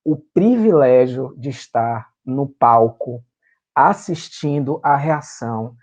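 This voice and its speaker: A man's voice: mid-range voice, expressive, takes it slowly, darkish tone, soft background music. Man recalling with emotion. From Salvador, Brazil. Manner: emotional, searching for words with many fillers. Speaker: guest performer and writer. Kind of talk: remote interview, reminiscing.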